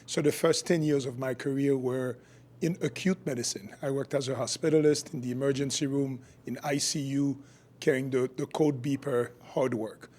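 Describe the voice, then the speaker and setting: A man's voice: mid-range voice, steady tone, normal speed, a little mellow. A middle-aged man from Haiti, a physician guest explaining his work and views in a podcast interview. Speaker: guest, functional medicine physician.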